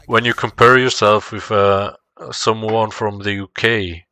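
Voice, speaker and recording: A man's voice: fairly low pitch, level tone, measured pace, sharp tone, smooth, no echo. A man from Spain whose native language is Spanish, chatting casually and searching for his words as he goes. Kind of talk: casual chat.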